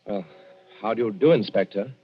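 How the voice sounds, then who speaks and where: An actor playing a guilt-ridden gentleman surgeon, formal yet nervous and halting, in an old-time radio drama. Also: fairly low pitch, slightly rough. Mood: guarded. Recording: muffled vintage radio recording.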